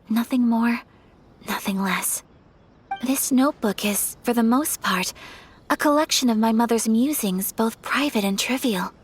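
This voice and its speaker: A gentle, wistful young noblewoman. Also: a little high, slightly rough. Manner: soft, measured, wistful. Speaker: noble daughter of a clan. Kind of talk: game voice acting.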